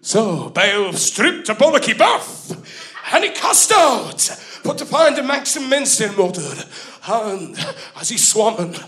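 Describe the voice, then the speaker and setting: Male comic storyteller, high lively voice, mock-foreign broken English, bursts of shouting. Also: slow. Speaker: comedy storyteller. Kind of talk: comic retelling of an epic in broken old-world English. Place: small comedy club stage.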